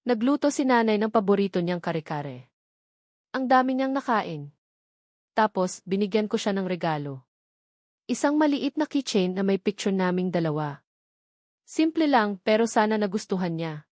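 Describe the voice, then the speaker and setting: A woman acts out a lovestruck young woman confiding in her diary, tender and insecure, with a Filipino accent. The pitch is medium for a woman. It is an audio drama recorded close and dry. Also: expressive, moderate pace, long pauses, darkish tone, no echo, close to an everyday voice. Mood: giddy, affectionate.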